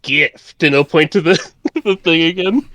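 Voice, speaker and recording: A man's voice: a little high, normal speed, fairly bright tone, dry recording. A man casually narrating his character's actions as a player in a tabletop game. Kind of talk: casual improvised roleplay narration.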